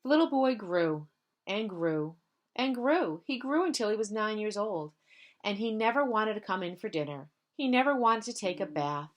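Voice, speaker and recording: Woman, school storyteller, mid-range voice, children's read-aloud, drawn-out gentle refrains. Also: medium pitch, animated. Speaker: school staff member reading stories to pupils.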